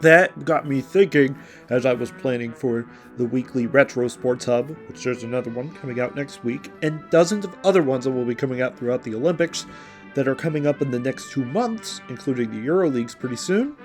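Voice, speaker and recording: A man's voice: mid-range voice, expressive, fairly quick. American man hosting over background music. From Indiana, United States. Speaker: podcast host. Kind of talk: podcast introduction for sleep listening, radio host patter. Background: music under the voice.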